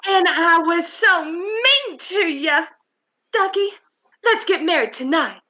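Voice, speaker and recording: Casting: syrupy, coquettish old flame for radio comedy, very high-pitched, swooping, pouty. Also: female voice, expressive, takes it slowly, pushed and bright, lo-fi sound, heavily acted. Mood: pouting, pleading. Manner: cooing, pouting. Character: gushing, clingy, coquettish old-flame girlfriend.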